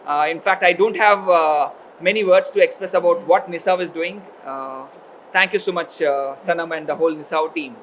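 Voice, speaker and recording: A man giving a formal welcome speech at a college event, pausing often with fillers. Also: fairly high pitch, fairly bright tone, slight background noise. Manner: hesitant, many filler pauses. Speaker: education consultancy representative.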